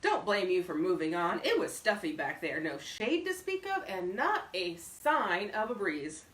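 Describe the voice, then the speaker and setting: A woman voicing a talkative, boastful, genial older man in a storybook, loud, emphatic and folksy. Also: lowish voice, very animated, a little slow. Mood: amiable, chatty. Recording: roomy echo, distant microphone.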